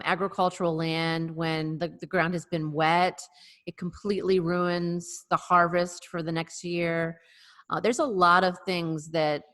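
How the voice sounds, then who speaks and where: A woman co-hosting a casual chat over a video call, agreeable and quick to back others up. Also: on the low side, fairly bright tone. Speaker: co-host.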